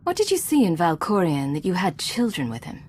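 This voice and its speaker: An actress playing a cool, probing secret agent commander in a video game, direct and dry. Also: female voice, lowish voice, huge pitch swings, a little fast, a little mellow, slight background noise. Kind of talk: dramatic video game acting.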